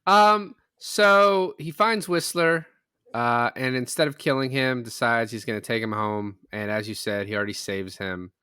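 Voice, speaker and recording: A man's voice: medium pitch, a little slow, pushed and bright, clear, resonant tone, dry recording, studio quality. A man in a casual film-recap chat, rambling along and acting out imagined lines as he goes.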